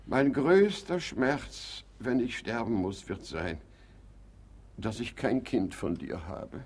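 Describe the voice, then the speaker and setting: An actor playing a doting, gullible, middle-aged rich husband in a theatrical, sentimental German radio comedy. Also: male voice, mid-range voice, animated, slow, a little mellow, breathy edge, exaggerated voice. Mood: maudlin. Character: doting, gullible, ailing wealthy husband making his will. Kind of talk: German radio comedy acting of a classic play.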